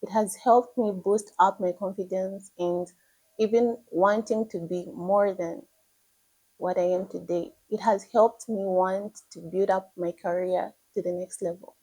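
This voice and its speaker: A woman's voice: medium pitch, fairly even intonation, measured pace, mellow, slightly rough, rough recording. A nineteen-year-old woman with a Zambian accent, a pageant contestant who introduces herself eagerly and encourages her listeners. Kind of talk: prepared self-introduction to camera.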